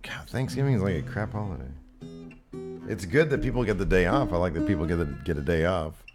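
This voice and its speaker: A man's voice: sits low, quick pace, music in the background. A man co-hosting a casual livestream with wry, offhand banter. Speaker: podcast co-host.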